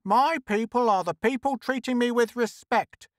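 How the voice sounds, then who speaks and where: A brash, tough woman of a mining camp acted out by a man in a pulp science fiction story. The voice is pushed high and loud. She scolds indignantly in rough, unschooled grammar. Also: a little slow, no echo, clean studio sound. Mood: airy defiance.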